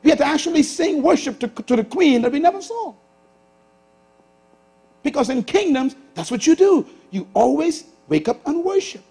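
Animated Bahamian preacher man in his sixties. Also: extremely high pitch, normal speed, gravelly. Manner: lively preacher, shifts from loud bursts to hushed asides. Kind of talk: sermon. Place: large church auditorium stage with audience.

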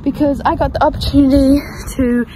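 A teenage girl with a bright, high voice chats upbeat to her phone held at arm's length as she walks around a horse farm. The sound is outdoor phone audio with clear wind and background noise behind her. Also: level tone, fairly quick. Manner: chatty, upbeat, talks to camera while walking. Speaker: vlogger. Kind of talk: casual vlog chat.

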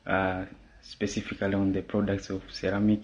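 A man from Tanzania, a salesperson, introducing himself deliberately with pauses in a self-recorded video. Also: sits low, completely flat delivery. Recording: dull lo-fi phone audio.

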